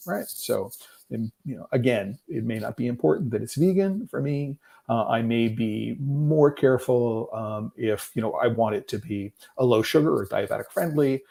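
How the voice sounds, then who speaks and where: A middle-aged man hosts a livestream and explains his subject in an unscripted lecture. His voice is gravelly and dark-toned. He keeps checking in with "right?" and pauses on "um" while he thinks. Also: mid-range voice. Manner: thinks aloud, many "right?" and "um" fillers, rhetorical questions. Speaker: livestream host.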